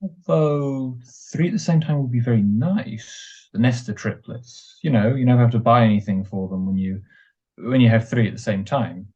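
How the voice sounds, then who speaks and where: Male actor as young soldier husband, 1940s radio play, mellow dark tone, tender, hesitant, muffled recording. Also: medium pitch, normal speed, lightly acted. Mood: playful, affectionate. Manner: affectionate, teasing, wistful, hesitant. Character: tender, playful young returned serviceman husband. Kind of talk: 1940s radio drama acting. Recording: dull muffled audio, faint music.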